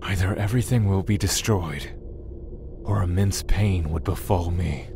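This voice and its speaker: Slow, very low brooding god. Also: male voice, vocal fry, noisy background. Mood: intrigued, soft. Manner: formal, slow, archaic phrasing. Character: brooding, aloof god Argus, wary yet curious. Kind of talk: audio drama acting.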